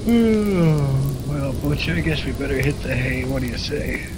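A man's voice: mid-range voice, measured pace, close to an everyday voice. A man playing a menacing, falsely soothing husband in a 1940s radio drama, coaxing and insistent. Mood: falsely casual. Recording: noisy vintage 1940s radio recording.

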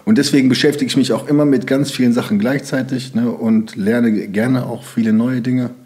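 A man's voice: medium pitch, steady tone, fairly quick, a little mellow. A young man from Germany, speaking as a podcast guest in loose, rambling sentences full of fillers. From Germany (Sauerland). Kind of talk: casual interview talk.

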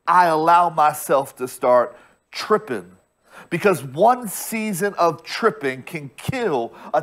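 Slow, emphatic preaching man. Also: fairly high pitch. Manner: preaches slowly with emphatic repetition and restarts. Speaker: pastor. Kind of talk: sermon. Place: church stage with bright screen backdrop.

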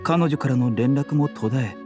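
An actor playing a composed, courteous young male physician in an anime game, polite, measured and gently enigmatic. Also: mid-range voice, music in the background, close to an everyday voice. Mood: reflective, reserved. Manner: polite, measured, softly teasing.

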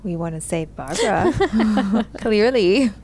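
A woman's voice: mid-range voice, lively intonation, slow, darkish tone, a little hiss or hum. A woman co-hosting a reaction show, commenting casually on what she watches.

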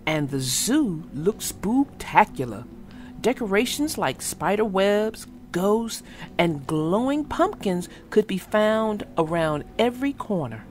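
A woman narrating a storybook for children in an animated, expressive way. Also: mid-range voice. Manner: expressive, addressing listeners directly. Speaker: children's read-aloud narrator.

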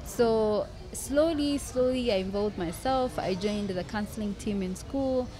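A woman's voice: mid-range voice, a little slow, noisy background. A woman in her twenties from Rwanda, talking as a podcast guest in a personal, conversational way, stressing points by repeating phrases. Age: 20s. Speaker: podcast guest, law student. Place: open-air covered café terrace. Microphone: close podcast microphone on table stand.

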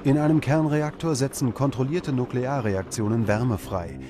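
A man reading scripted documentary narration in a measured, dramatic manner. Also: medium pitch, noisy background. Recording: music behind the voice. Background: background music.

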